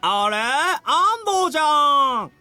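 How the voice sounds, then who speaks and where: A man's voice: very high voice, sing-song, a little slow, sharp tone, soft background music, exaggerated voice. An actor voicing a lecherous young man in a Japanese visual novel, with an affected, overly familiar manner. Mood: fake-casual, chummy. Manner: affected, casually chummy.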